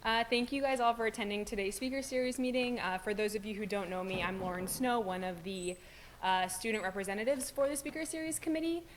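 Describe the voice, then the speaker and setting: A woman's voice: medium pitch, fast talker, slight background noise. A young woman, a student, giving a formal introduction of a guest speaker, with frequent ums and uhs. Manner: hesitant, many "um"s and "uh"s. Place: university lecture room.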